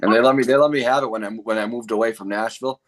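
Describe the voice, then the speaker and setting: A man's voice: fairly low pitch, fairly even intonation, racing, slight background noise. A man from New England, the band's vocalist, chatting loosely and swearing casually. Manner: laid-back, casual swearing, laughs often. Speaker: band vocalist guest. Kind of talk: casual interview chat.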